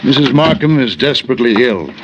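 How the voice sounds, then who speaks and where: An actor playing a guarded, impatient middle-aged husband in an old-time radio drama, speaking tersely and evasively. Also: male voice, mid-range voice, very animated, fairly quick, noisy background. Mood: irritated, evasive. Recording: muffled vintage radio recording.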